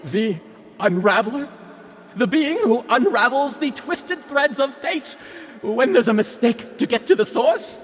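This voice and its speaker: A man's voice: extremely high pitch, animated, measured pace. A man playing a nervous, hesitant underling of the Fates in an audio drama. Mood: puzzled awe. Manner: hesitant, timid. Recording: hall reverb, music underneath.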